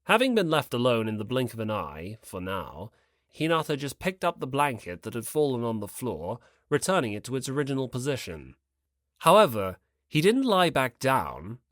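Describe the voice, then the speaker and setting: An audiobook narrator reading a scripted story in an expressive, dramatic way. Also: medium pitch, no room sound, studio quality.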